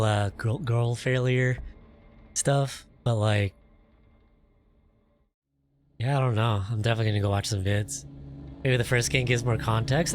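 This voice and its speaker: A man giving casual video game playthrough commentary in a halting, rambling, puzzled way. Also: mid-range voice. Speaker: gaming video host. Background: game music.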